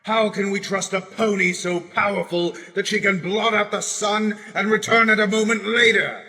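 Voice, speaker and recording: Guy acting fired up pony rebel orator, sharp forceful voice, big echoey hall, radio drama speechifying. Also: sits high, fairly even intonation, moderate pace. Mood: accusing, fervent. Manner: impassioned, rhetorical oratory. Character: male pony demagogue rallying citizens against a tyrant ruler. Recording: echoing hall with long reverb.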